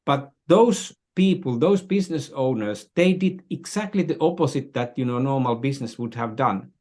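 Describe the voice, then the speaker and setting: Older male interview guest, Finnish accent, mid-pitched voice, halting and repetitive as he explains. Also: expressive, darkish tone. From Finland. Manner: halting, searching for words, repeats phrases. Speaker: interview guest, business strategist. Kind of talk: conversational interview, explaining and telling anecdotes.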